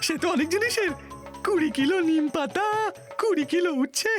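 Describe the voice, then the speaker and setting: A woman's voice: very high voice, expressive, brisk. A woman playing a cowardly, sly male henchman in a cartoon dub, with an obedient, pleading, exaggerated manner. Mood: frightened, hurried. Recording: music behind the voice.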